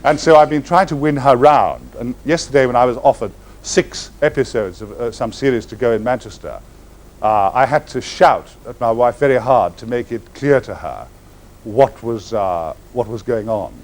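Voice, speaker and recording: A man telling comic stories to a live audience in grand, florid words with sharp comic timing. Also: moderate pace. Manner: grand, florid wording with comic timing and sudden swings in pitch. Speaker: actor telling stories on stage. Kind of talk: theatrical anecdote to a live audience. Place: stage before an audience.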